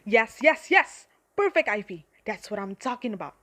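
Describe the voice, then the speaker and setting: A very high, gushing unicorn , voiced by a woman in an amateur radio drama. The creature plays a falsely sweet best friend, coaxing and bossy, always out to manipulate. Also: sing-song, moderate pace, sharp tone, full character voice. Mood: gleefully menacing.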